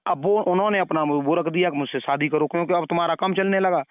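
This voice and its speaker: Man, clear resonant voice, moralizing phone caller who repeats himself to make points; dry, telephone-grade sound. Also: a little high, fast talker, dry recording. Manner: moralizing, preachy, repeats phrases. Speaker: caller questioning and advising the other party. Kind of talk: phone conversation. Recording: narrow tinny phone-call recording.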